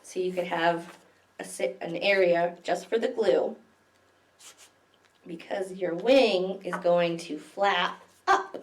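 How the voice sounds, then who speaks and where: A woman's voice: lowish voice, slow pace, long pauses, distant mic. A woman hosting a story time for small children, reading and explaining things simply, as a children's librarian does. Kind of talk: picture-book reading and simple craft demonstration for small children. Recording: echoey room, away from the mic.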